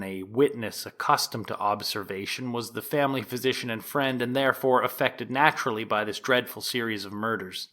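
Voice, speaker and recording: Man playing a solemn, animated 1890s prosecutor. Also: medium pitch, close to an everyday voice. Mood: measured, grave. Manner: formal, deliberate oratory with emphatic rises. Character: 1890s male prosecuting attorney, solemn, insistent, accusatory. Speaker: actor in an audio theatre production. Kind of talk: dramatized courtroom opening statement.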